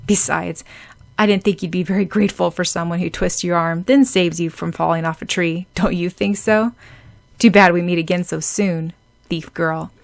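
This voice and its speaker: A woman's voice: on the low side, fairly even intonation, normal speed, creaky. A woman doing a cold, mocking schoolboy. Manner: sharp-tongued, condescending.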